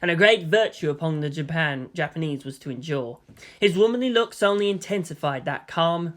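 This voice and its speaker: A woman narrating a scripted story as its reader. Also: fairly low pitch.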